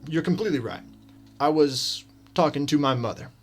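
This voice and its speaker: A man playing an earnest, modest, principled detective and mentor in a radio drama, measured and serious. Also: on the high side, expressive, soft background music, natural voice. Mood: serious, confiding.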